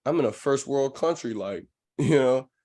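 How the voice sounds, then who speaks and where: A man's voice: mid-range voice, lively intonation, moderate pace, mellow. A man hosting a podcast in casual conversation, with laid-back, slangy phrasing and an affirming way of talking. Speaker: podcast host.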